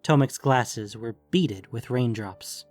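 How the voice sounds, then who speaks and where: A man narrating scripted fiction in a measured, deliberate storytelling manner. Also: medium pitch, a little mellow, faint music behind.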